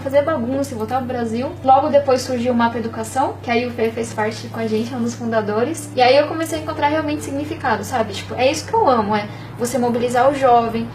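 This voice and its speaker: A young woman from Bahia in Brazil, talking in a casual interview, animated and often tagging on "sabe?". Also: a little high, recorded from a distance, a little hiss or hum, rough recording. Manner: fast, animated, peppered with "sabe?". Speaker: interview guest.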